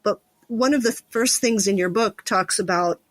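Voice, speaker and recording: Female interviewer, medium pitch, conversational and reflective, very dry room sound. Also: moderate pace, dry recording. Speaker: podcast host and interviewer.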